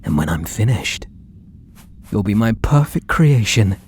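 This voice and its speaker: A British man voicing an obsessed, possessive artist and menacingly tender lover in a breathy, theatrical ASMR roleplay, drifting into whispers. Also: lowish voice, very animated, a little slow, a little mellow, a little hiss or hum. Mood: possessive, intimate. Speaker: audio roleplay voice actor.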